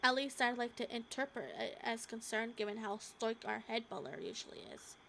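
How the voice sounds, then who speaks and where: A woman reading a visual novel aloud as a gaming streamer, halting and expressive, with casual asides. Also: fairly high pitch, creaky, slight background noise. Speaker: gaming YouTuber.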